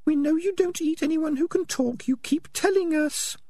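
A tiny, very high-pitched female rat played by a man from a storybook reading. She is prim and impatient, snapping as if nobody around her can be trusted to get to the point. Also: normal speed, warm tone, no room sound, clean studio sound. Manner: snappish, exasperated.

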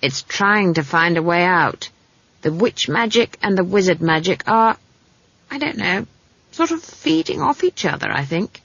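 Storybook role: brusque old witch, female, played by a woman, very husky and low, wry scolding delivery with lively swings. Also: unhurried, dry recording, highs cut off, exaggerated voice. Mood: matter-of-fact. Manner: sour, brusque, cryptic, scolding.

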